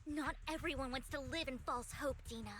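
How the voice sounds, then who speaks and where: A woman's voice: sits high, fairly quick, natural voice. A woman acting an earnest young video game heroine, righteous and pleading as she confronts someone. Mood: reproachful. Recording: game music behind the voice.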